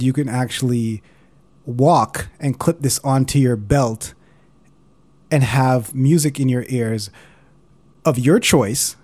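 A man's voice: mid-range voice, dark tone. A man narrating a casual explainer as host, in an informal, conversational way. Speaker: host of a video series on analog recorders.

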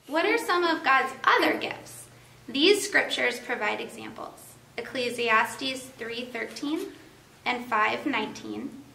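A woman in her thirties hosting a family devotional, reading clearly and steadily in a living room. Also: on the high side, animated, slow, sharp tone. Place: living room by a Christmas tree, indoors. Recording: roomy, distant camera sound.

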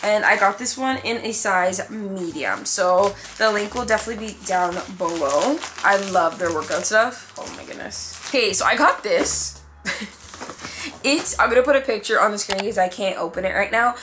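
A woman's voice: medium pitch, bright, forceful tone, a little hiss or hum. A woman in her twenties chatting casually to camera as a vlogger, slangy and full of asides, recorded indoors at home. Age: 20s. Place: indoors in a furnished home room.

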